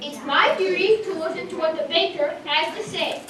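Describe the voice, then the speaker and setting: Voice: extremely high pitch, normal speed, a crowd in the background. A young-sounding performer playing a dutiful, formal judge in a children's puppet show, recorded in an echoey room from a distance. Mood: solemn. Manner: formal, pronouncing orders. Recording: echoey, distant, faint noise.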